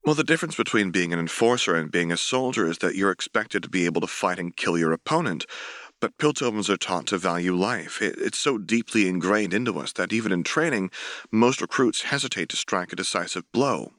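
A man voicing an earnest, self-effacing young military officer in a formal, measured way for a dramatic audiobook. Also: deep voice, animated, fairly bright tone, dry recording. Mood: grave, intense. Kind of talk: dramatic audiobook dialogue reading.

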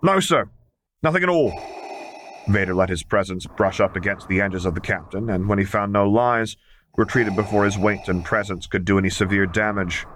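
A man's voice: low voice, flat delivery, fairly quick, a bit bright, slight background noise. A man reading scripted fiction aloud as an audiobook narrator. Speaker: audiobook reader.

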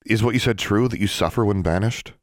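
A plain-spoken, stubborn girl, as a man reads her in a fantasy storybook. The voice stays very low and direct, recorded dry. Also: fast talker, dry recording, close to an everyday voice. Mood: curious.

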